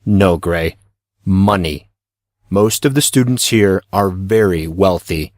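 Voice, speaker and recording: A man voicing a knowing teenage boy who explains things patiently in a measured, didactic way, in an audiobook story. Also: low voice, no room sound, clean studio sound. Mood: patient, pleased.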